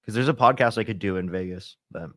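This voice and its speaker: A man's voice: lowish voice, fast, dry recording. A young man hosting a casual livestream, answering chat in a laid-back way full of fillers, a little congested with a sore throat. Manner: laid-back, filler-heavy, lots of "like" and "you know".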